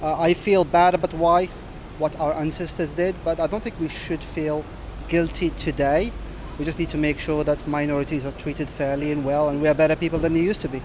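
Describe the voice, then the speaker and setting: A man from Morocco answers earnestly and quickly in a slightly high voice. Heavy street traffic rumbles behind him. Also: fairly even intonation. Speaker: passer-by. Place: outdoors on a city street.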